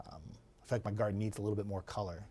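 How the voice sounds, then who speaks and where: A man with a raspy voice, a TV meteorologist chatting casually and rambling to viewers. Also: on the low side, little pitch movement, very fast, mellow. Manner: chatty, rambling, laughs easily.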